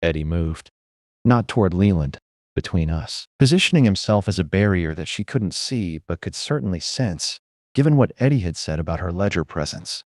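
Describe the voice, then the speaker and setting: A man's voice: low voice, animated, moderate pace, a little mellow, dry recording, clean studio sound. A man reading scripted first-person noir narration as the story's narrator.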